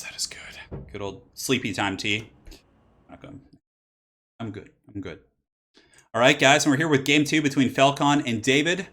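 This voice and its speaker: A young man casting a strategy game match solo, casual and rambling, his voice slightly raspy from allergies. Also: medium pitch, fairly bright tone. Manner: rambling, casual, laughs and exclaims at plays. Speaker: esports caster and channel host.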